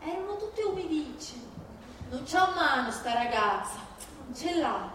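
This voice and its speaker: High-pitched Sicilian mother, acted by a woman, very echoey. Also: big pitch swings, measured pace, a bit bright, heavily acted. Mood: indignant pleading. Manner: emphatic, plaintive, repeating phrases. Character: fierce, grieving Sicilian mother disowning her criminal son. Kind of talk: dramatic theatrical monologue on stage. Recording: very echoey hall from far, faint noise.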